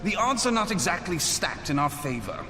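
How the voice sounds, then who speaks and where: A man's voice: sits high, very animated, moderate pace, pushed and bright, exaggerated voice. A man playing a gallant, flirtatious warrior in a video game, wry and courtly with theatrical teasing. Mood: teasing bravado. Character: gallant, flirtatious swashbuckling male warrior, wry under fire. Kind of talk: dramatic video game voice acting. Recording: echoey hall, music behind.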